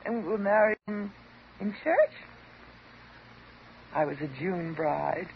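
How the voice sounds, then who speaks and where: A woman's voice: medium pitch, expressive, slow. Muffled noisy old radio, bitter divorced woman, sarcastic, dramatic, wounded. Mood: wistful, disillusioned. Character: bitter, wounded divorced housewife confronting her psychoanalyst. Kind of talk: 1950s radio drama acting.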